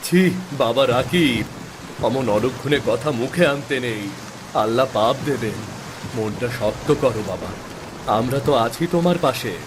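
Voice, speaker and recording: A man's voice: medium pitch, lively intonation, normal speed, pauses often, close to an everyday voice. Man acting earnest, devout village father. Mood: gently chiding. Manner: earnest, reassuring. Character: poor, devout village father, patient and consoling. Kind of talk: Bengali moral-story cartoon voice acting. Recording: clear rain noise behind.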